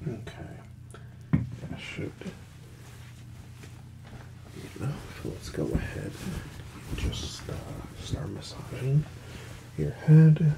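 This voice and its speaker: Male ASMR hairdresser: hushed whisper and murmur, crackly low end, unhurried, soothing, noisy background. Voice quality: soft, breathy whisper and murmur. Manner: soothing, reassuring, gentle. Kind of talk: ASMR roleplay, soft whispering. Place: small indoor room set up as a salon.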